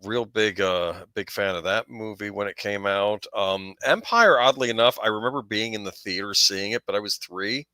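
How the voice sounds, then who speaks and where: A man in his forties reminiscing casually on a panel, in a halting way. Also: lowish voice, bright, forceful tone, no echo. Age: 40s.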